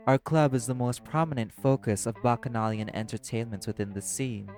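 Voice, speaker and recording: A man playing an apathetic, guarded socialite in a crime syndicate, speaking in a measured, deliberate way in an audio drama. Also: medium pitch, a little mellow, lightly acted. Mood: cool, matter-of-fact. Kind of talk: dramatic audio roleplay acting.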